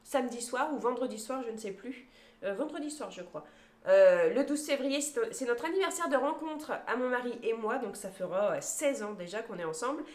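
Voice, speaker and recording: Woman, 30s, slightly high voice, casual vlog chat, lots of "euh", roomy sound. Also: expressive, brisk, a little mellow. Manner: chatty, lots of hesitation fillers, lists items one by one. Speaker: vlogger showing her grocery haul. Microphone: phone camera.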